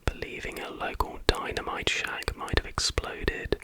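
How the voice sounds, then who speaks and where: A man whispers softly and without hurry in an informal ASMR narration, as the host of the video. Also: unhurried.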